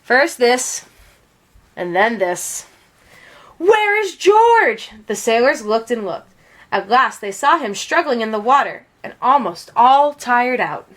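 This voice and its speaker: A woman's voice: a little high, animated, unhurried, fairly bright tone, slight background noise. A woman reading a children's story aloud expressively, drawing out key words for dramatic emphasis. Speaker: storybook reader.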